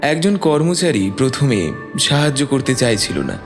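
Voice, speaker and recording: A man's voice: medium pitch, big pitch swings, normal speed. A man narrating a scripted dramatic story. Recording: long reverb, music bed.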